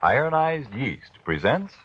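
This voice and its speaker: A man announcing and pitching for the sponsor on a 1940s radio show, polished and emphatic. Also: big pitch swings, unhurried, fairly bright tone, slightly rough. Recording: dull, dry vintage 1940s radio recording.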